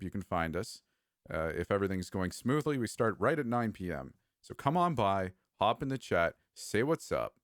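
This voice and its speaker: A man's voice: fairly low pitch, dry recording, studio quality. A man hosting a podcast, chatting casually in a laid-back, joking way. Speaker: podcast host.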